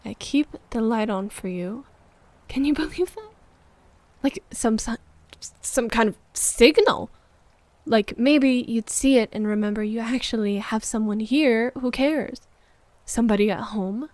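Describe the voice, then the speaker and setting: A fairly high voice in a very dry recording, a woman acting out a needy, wounded girlfriend. She is accusing and emotional, shifting from pointed reproach to breathy, hushed murmurs in an intimate roleplay monologue. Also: unhurried, dry recording, natural voice. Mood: accusing, upset. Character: hurt, needy, accusing live-in girlfriend waiting up late.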